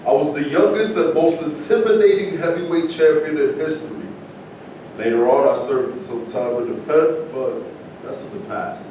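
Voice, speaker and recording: A man's voice: normal speed, warm tone, natural voice. A man playing a blunt, street-smart ex-boxer from Brooklyn in a staged play reading. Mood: plain, tough. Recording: echoey distant room recording, noisy rumble.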